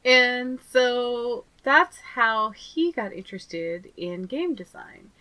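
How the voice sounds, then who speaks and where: A woman's voice: on the high side, animated, slow pace, pushed and bright, low-quality recording. A woman co-hosting a podcast, chatting casually, laughing often and acting out little quotes as she recaps. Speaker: podcast co-host.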